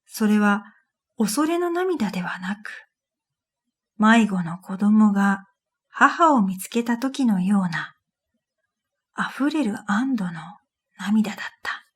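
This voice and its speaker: A woman narrating a scripted first-person radio drama in a gentle, unhurried way, with breathy phrase endings. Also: mid-range voice, lively intonation. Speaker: narrator and storyteller. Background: new-age music.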